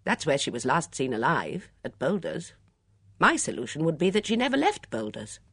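A woman's voice: low-pitched, big pitch swings, normal speed, fairly bright tone, husky, no echo, clean studio sound, full character voice. A woman voicing a cocky, argumentative young Englishman in an audiobook, eager and emphatic in manner.

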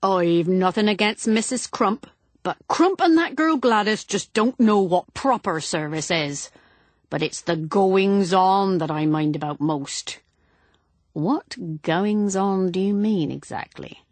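A woman's voice: mid-range voice, slow pace, no room sound. A woman voicing a grim, disapproving old spinster housemaid in a storybook reading. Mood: sour indignation. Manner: indignant, disapproving, venomous.

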